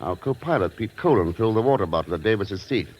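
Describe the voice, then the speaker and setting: Low-voiced, cool secret agent. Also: male voice, fast talker, dull audio. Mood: assured. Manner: calm, dry, understated. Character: cool, shrewd, unflappable male secret agent. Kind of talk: 1940s radio drama acting. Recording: noisy old radio recording.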